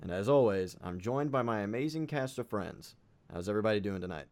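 A man hosting and narrating a tabletop game as dungeon master, slightly raspy from fighting a cold, casual with many fillers. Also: on the low side, expressive, fairly quick, a little mellow. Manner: casual, with frequent fillers and restarts.

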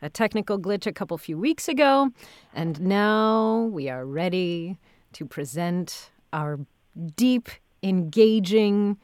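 A woman hosts a casual interview in a medium-pitched voice. She feels her way through phrases and leans on key words. The sound is dry, with no room echo. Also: expressive, takes it slowly, a little mellow, clear, resonant tone. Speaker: podcast interviewer, audio drama director and actor.

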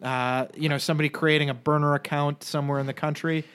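A man co-hosting a podcast in loose, jokey banter. He rambles and restarts his sentences often, in a fairly high voice. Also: level tone, fast, a bit bright, clean tone. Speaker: podcast co-host. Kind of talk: casual banter.

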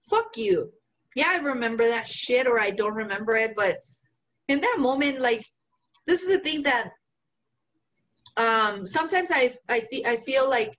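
A woman's voice: a little high, poor audio. A woman co-hosting a podcast in casual chat, emphatic and heavy on swearing. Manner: swears a lot, animated and emphatic. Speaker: podcast co-host.

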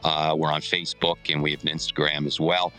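An American man hosting a sports podcast, casual and upbeat, with a halting, colloquial delivery. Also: extremely low pitch, little pitch movement, bright, forceful tone, soft background music.

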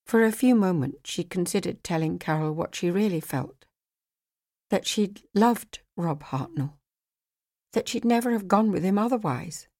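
A woman narrating a novel as a scripted audiobook, with an expressive, measured storytelling manner. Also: medium pitch.

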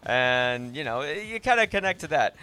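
Bright-voiced man chatting about films. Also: a little high, very animated, normal speed, smooth. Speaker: podcast host. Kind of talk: casual film discussion.

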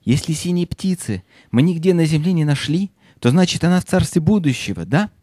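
An actor plays a young boy hero in an audio fairy tale. He is curious and earnest, with a soft-toned, very lively delivery. The sound is dry and close. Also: mid-range voice, a little fast, dry recording, close to an everyday voice. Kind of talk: children's audio play acting.

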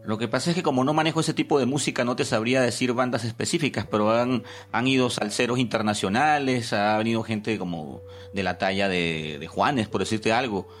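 A man's voice: medium pitch, fairly quick, hardly any pauses, a little hiss or hum. A Venezuelan-accented man in his forties in casual podcast conversation, hesitating and searching for words. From born in Peru, living in Venezuela since his teens. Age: 40s. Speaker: podcast co-host.

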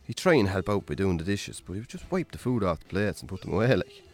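A man's voice: deep voice, very animated, fast talker, darkish tone, soft background music. A man playing a worn-down, lonely Irish farmer in a radio drama, wry, understated and conversational. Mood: fond, reminiscing. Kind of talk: naturalistic radio drama acting.

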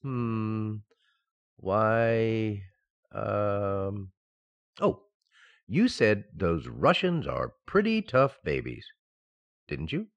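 A man's voice: on the low side, big pitch swings, very slow, creaky, pauses often, full character voice. A man playing a mild-mannered, distracted husband in an old-time radio sitcom, hesitant and absent-minded.

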